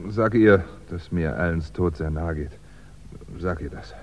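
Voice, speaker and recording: A very deep male voice plays a composed, wry crime writer in a 1960s radio play. He recounts things in a measured, conversational way, with small hesitant asides. The recording is dull and limited in range. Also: background noise. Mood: subdued, resigned. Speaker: actor playing narrator and lead.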